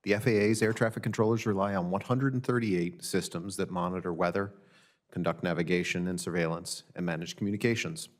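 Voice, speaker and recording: A man reading a prepared formal statement as an expert witness at a government hearing, in a measured, matter-of-fact way. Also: low voice, steady tone. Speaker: government audit director testifying as a witness. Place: legislative hearing room.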